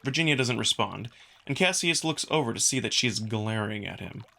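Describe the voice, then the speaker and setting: Bright, animated male narrator. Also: mid-range voice, a little fast, vocal fry. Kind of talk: first-person scripted narration of a visual novel.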